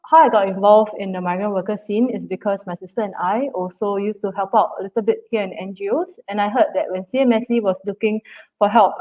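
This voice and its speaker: A woman who teaches English, giving a friendly, measured introduction of herself as a panelist. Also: medium pitch. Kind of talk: self-introduction. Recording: tinny phone-like call audio.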